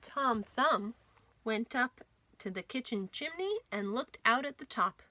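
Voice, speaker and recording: A woman reading a children's story aloud as a storytime host, with dramatic, expressive delivery. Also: fairly high pitch, unhurried. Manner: dramatic, animated storytelling with whispers and emphasis. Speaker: library storytime reader.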